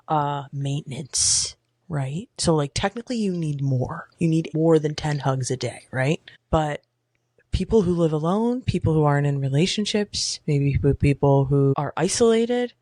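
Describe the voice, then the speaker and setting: A young woman with a naturally deep, low voice talks openly and casually as a podcast guest. She rambles a little, laughs often, and the close mic sounds completely dry. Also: warm tone, no room sound. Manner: candid, chatty, laughs easily. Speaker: podcast guest, boudoir photographer. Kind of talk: casual chat.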